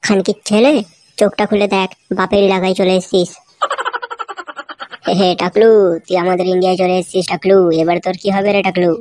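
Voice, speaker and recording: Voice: mid-range voice, level tone, fairly quick, pauses often, a little mellow, a little hiss or hum, natural voice. An actor voicing a brash, fearless father hero in a comedy cartoon, boastful and given to bellowing short calls. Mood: taunting. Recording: music behind the voice. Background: music.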